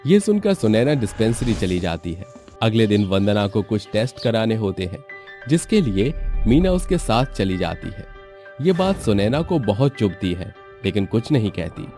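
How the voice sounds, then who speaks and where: A man's voice: fairly low pitch, sing-song, normal speed, mellow, soft background music. A man narrating a scripted family story as a storyteller.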